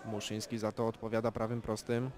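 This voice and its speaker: A man calls a live amateur boxing match in a calm, analytical way, naming each punch. His voice stays flat and even, with little rise or fall. A loud crowd fills the venue behind him. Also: fairly low pitch. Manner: calm, measured play-by-play with technical analysis. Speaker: boxing commentator. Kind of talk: live sports commentary.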